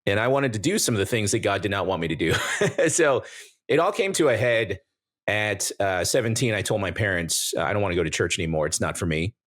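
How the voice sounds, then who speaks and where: Forties guest from American Samoa, lowish voice, relaxed joking storyteller. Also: male voice, fairly quick. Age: 40s. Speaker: guest, YouTuber. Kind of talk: casual interview chat.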